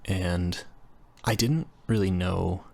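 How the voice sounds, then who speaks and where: A man's voice: low-pitched, fairly even intonation, slow, gravelly, a little mellow, heavily acted. A man voicing a nerdy, flustered engineering student roommate in a casual roleplay audio, stammering and rambling in a self-deprecating way. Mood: sheepish rambling. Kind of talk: intimate roleplay audio, casual scripted chat.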